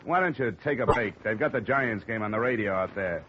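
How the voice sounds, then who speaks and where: A man's voice: lowish voice, lively intonation, brisk, a little hiss or hum, natural voice. Man playing a wisecracking insurance investigator. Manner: dry wisecracking. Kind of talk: 1950s radio drama narration and acting. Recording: dull old radio broadcast.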